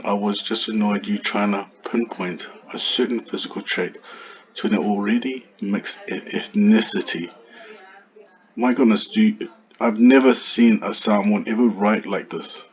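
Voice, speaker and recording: A man arguing back at a commenter in a heated monologue, firing off rhetorical questions. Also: fairly low pitch. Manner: argumentative, full of rhetorical questions. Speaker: vlogger replying to a commenter. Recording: dull lo-fi recording.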